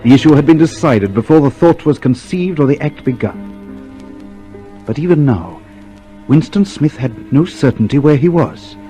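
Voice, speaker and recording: An actor playing a grave, pitiless narrator of a dystopia, measured and emphatic, in a radio drama. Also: male voice, on the high side, animated, dark tone, faint music behind.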